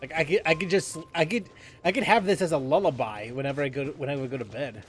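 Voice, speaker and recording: A man's voice: a little high, fast talker, faint music behind. A man giving casual gameplay commentary, thinking aloud and often repeating himself. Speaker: game streamer.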